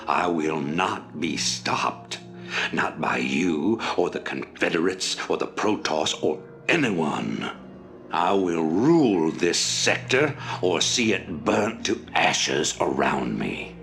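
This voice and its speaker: A man's voice: low-pitched, very animated, slow, vocal fry, fairly bright tone, full character voice. An actor playing a ruthless male rebel leader in a video game cutscene, speaking in a cold, commanding, menacing way.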